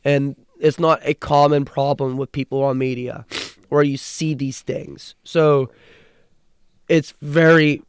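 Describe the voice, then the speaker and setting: A man's voice: on the high side, clean tone, no room sound, studio quality. Young man with a stutter. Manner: earnest, with restarts and repeated words. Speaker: podcast co-host. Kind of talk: casual sports chat.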